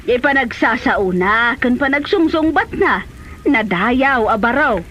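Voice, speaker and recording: Casting: actress as meddling middle-aged mother, Ilocano accent, high voice, comedy drama, noisy location sound. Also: animated, a little slow, fairly bright tone, lo-fi sound. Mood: approving, persuasive. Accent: Filipino Ilocano. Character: chatty, meddling, well-meaning mother and auntie.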